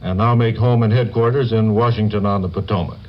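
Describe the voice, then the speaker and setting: A man from the American Upper Midwest, a news correspondent, speaking in a measured, reflective way. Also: on the low side, fairly even intonation, a little hiss or hum. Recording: dull lo-fi 1950 radio broadcast.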